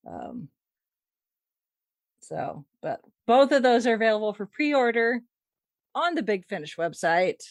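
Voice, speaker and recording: A woman hosts a podcast in a casual, playful tone. Her pitch swings around in a lively way while she takes her time over the words. She sounds wry as she shares fandom news. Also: measured pace, long pauses, no echo, clean studio sound. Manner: wry, playful, chuckles through talk. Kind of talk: casual chat.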